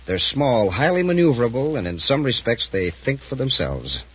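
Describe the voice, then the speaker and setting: An actor playing a smug, commanding criminal mastermind, suave and grandiose, in 1950s radio serial style. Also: male voice, mid-range voice, sing-song, moderate pace, a bit bright, husky. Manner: suave, self-assured, grandiose. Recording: muffled, faintly noisy 1950s radio recording.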